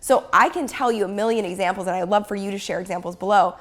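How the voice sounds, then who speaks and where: A woman in her thirties with a hoarse voice from a cold, talking upbeat and chatty straight to her viewers in a home vlog. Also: medium pitch, fast. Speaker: YouTuber and business coach. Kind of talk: casual talking-head vlog.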